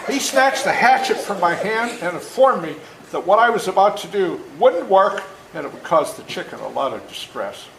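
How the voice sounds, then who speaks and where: Animated older man reading aloud, echoey room. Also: sits high, normal speed, creaky, crowd noise. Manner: animated, dramatic emphasis, folksy explanations. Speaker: author reading his own book to schoolchildren. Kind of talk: storytelling read-aloud with asides to children. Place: indoor room at a school. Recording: echoey room with faint background noise.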